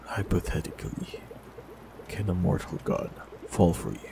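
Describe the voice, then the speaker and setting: A man voicing a warlock in an audio roleplay, talking calmly and reassuringly to the listener. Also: low-pitched, normal speed, gravelly, background noise. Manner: calm, gentle, reassuring.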